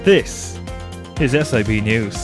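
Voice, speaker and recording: A man's voice: mid-range voice, animated, takes it slowly, over music. A man co-hosting a comedy podcast with sweary, sarcastic banter and mock-serious announcing. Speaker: podcast co-host.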